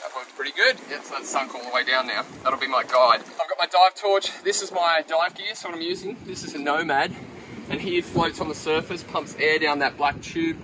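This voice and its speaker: A man's voice: fairly high pitch, a little fast. A young Australian man vlogging his treasure hunt, chatty and eager, laughing now and then. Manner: excitable, gasping and laughing at finds. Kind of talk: casual vlog narration. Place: outdoors by a flooded quarry, in a van, and underwater. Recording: noisy outdoor camera audio.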